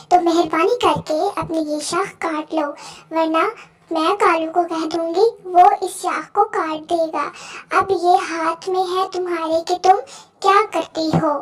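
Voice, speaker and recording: A woman voicing a bossy, nagging, sharp-tongued female crow neighbour in a children's moral story, scolding and threatening. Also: very high-pitched, steady tone, measured pace, pitched above the performer's own voice.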